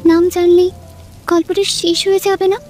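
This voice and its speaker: A woman playing a wistful young woman in love in an audio drama, speaking in a soft, poetic, restrained way. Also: extremely high pitch, little pitch movement, moderate pace, soft background music. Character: wistful young woman, nameless coffee-shop companion in love.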